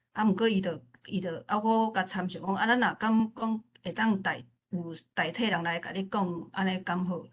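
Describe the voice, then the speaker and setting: Woman, Taiwanese accent, informal forum speaker, mid-pitched, halting with repeated phrases. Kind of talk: informal spoken remarks at a literature forum.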